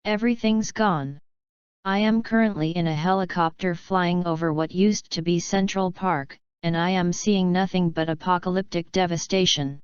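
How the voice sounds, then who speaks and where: A bleak emergency agency official played by a synthetic woman delivers a stark disaster report. The voice is very clean and rather low, measured throughout, on a dull band-limited line. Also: fairly even intonation, smooth, dry recording, close to an everyday voice. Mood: devastated, bleak. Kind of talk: scripted phone report in amateur disaster drama.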